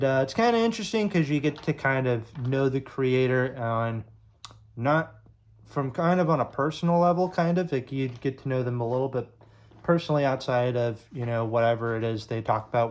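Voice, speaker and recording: A man's voice: medium pitch, normal speed, darkish tone. A young man from Illinois chatting casually to camera, rambling with lots of fillers and restarts. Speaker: YouTube sports creator.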